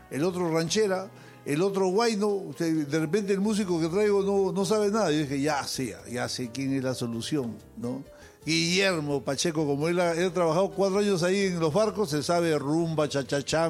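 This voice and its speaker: An older man tells stories from his years among musicians. His voice sits a little higher than most men's, and he acts out the people he quotes. Faint music plays behind him. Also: a little mellow. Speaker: host. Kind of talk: casual conversation among musicians.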